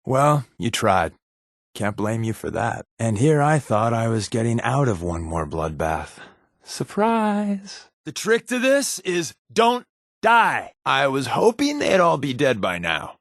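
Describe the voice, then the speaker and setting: A man's voice: medium pitch, fairly bright tone, no room sound. An American man playing a sarcastic, easygoing spy companion in a post-apocalyptic video game, tossing off dry conversational quips. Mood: combat taunting.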